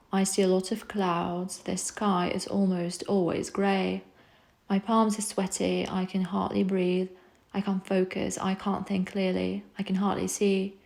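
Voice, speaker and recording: A woman reading rhyming self-help poems aloud in a soft, soothing, unhurried way. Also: mid-range voice, vocal fry. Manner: soft, soothing, slow recitation. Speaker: poetry reader.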